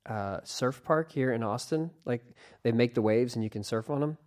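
A woman's voice: very deep voice, normal speed, darkish tone. A woman hosting a podcast interview, chatting casually and joking freely with her guest. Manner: playful, joking, loose and chatty. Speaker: podcast host. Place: studio with headphones.

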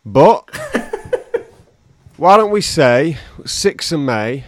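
A man's voice: a little high, huge pitch swings, extremely slow. A man co-hosting a podcast, chatting casually and joking and teasing his co-host. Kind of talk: casual chat.

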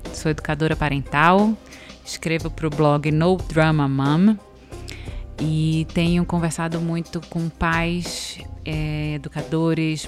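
Woman, Brasília accent, low pitch, reflective conversational podcast talk, faint music behind. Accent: Brazilian, Brasília. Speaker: podcast guest, parenting educator.

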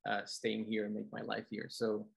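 A man's voice: on the low side, monotone, moderate pace, gravelly, slightly rough. A man from Colombia, a Spanish speaker, telling his story casually as an interview guest. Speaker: interview guest, film composer.

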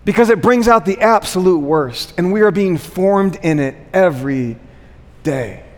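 Higher-voiced male preacher in a reverberant hall. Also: expressive, unhurried. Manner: rhetorical questions, repeated phrases for emphasis, frequent "right?" check-ins. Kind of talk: conversational sermon to a congregation.

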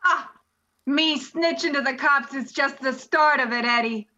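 Brash, taunting gangster moll who snitches played by a woman, high and forceful, drawn-out delivery. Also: muffled recording. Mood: defiant, taunting. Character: defiant, tough-talking female gangland snitch. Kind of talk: 1930s gangster movie parody acting.

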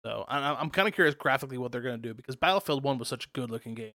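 A young man hosting a casual gaming podcast, talking fast and excitably with lots of fillers, a youthful, fairly high voice. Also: slight background noise. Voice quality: youthful, higher voice. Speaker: podcast host.

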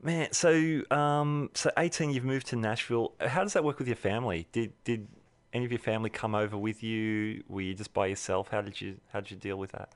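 A man's voice: fairly low pitch, fairly even intonation, no room sound. An Australian man hosting an interview, asking questions casually and reacting with eager exclamations. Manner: enthusiastic, quick reactions like "yeah, wow". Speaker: podcast interviewer.

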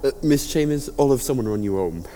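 An actor in an audio drama playing a patient, kindly police inspector, courteous and businesslike. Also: male voice, medium pitch, lively intonation, a little fast, warm tone, slight background noise, close to an everyday voice.

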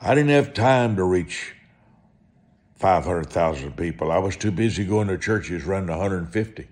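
A man preaching through personal storytelling, speaking in short, deliberate, emphatic phrases and repeating them for effect. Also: sits low, expressive, darkish tone. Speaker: preacher and author telling testimony. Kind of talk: storytelling sermon monologue.

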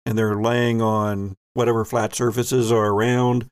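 A man narrating a tabletop role-playing game as game master in a deliberate, descriptive way. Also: fairly low pitch, little pitch movement, darkish tone, smooth, no echo, studio quality.

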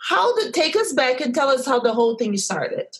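A woman's voice: high voice, big pitch swings, a little fast, a bit bright, husky. A woman hosting a podcast, introducing her guest with gushing, enthusiastic praise. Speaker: podcast host. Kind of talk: podcast interview.

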